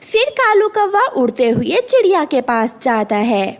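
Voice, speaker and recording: A woman's voice: high-pitched, big pitch swings, a little slow. A woman reading scripted narration for a children's animal story.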